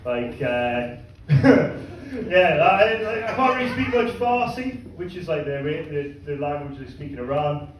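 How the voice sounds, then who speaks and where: A man doing a stand-up comedy set in a club, delivering crude deadpan punchlines with halting asides to the crowd. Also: fairly high pitch, slight background noise. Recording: echoey club miked from a distance. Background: audience laughter.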